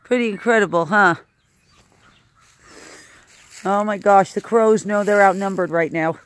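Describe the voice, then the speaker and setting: A woman's voice: mid-range voice, fairly quick. A woman filming a casual outdoor vlog, exclaiming in amazement and repeating her phrases as she narrates to viewers. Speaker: animal sanctuary host filming a vlog. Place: outdoors at a rural animal sanctuary.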